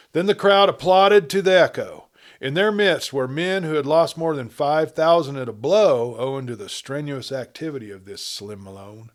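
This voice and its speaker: A man reading a western story as a storyteller, in a measured, dramatic narration with emphatic swells and phrases that trail off. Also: mid-range voice.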